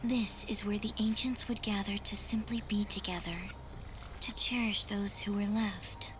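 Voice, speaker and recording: A woman voicing a solemn, wistful female artificial intelligence in a video game, speaking in a soft, breathy, formal and measured way. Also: mid-range voice, fairly even intonation, background noise, lightly acted. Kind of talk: scripted video game cutscene acting. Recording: music under the voice. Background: game music.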